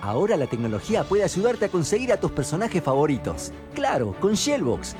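A man's voice: on the high side, huge pitch swings, brisk, over music. A man with an Argentine accent reading scripted promotional narration as an advert announcer.

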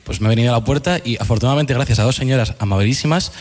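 Fast-talking Castilian man, echoing hall. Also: mid-range voice, darkish tone. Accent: Castilian Spanish. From Burgos, Spain. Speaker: audience member, radio listener. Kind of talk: casual chat. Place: live radio show in a venue. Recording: reverberant hall.

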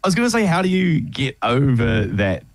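A man interviewing a young band, full of praise and pausing often with fillers. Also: mid-range voice, sing-song, no echo. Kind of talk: casual interview.

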